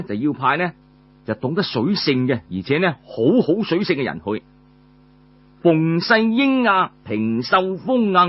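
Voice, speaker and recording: Animated husky male Cantonese storyteller. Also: fairly high pitch, lo-fi sound. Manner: colloquial, frequent drawn-out filler particles between phrases. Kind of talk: traditional Cantonese oral storytelling, audiobook narration.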